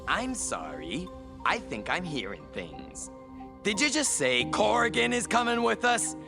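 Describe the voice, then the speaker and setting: A man's voice: high voice, wildly swooping pitch, measured pace, gravelly, over music, exaggerated voice. A man playing a sarcastic, reluctant, cowardly sidekick in a comic audio drama, grumbling and whiny. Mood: indignant.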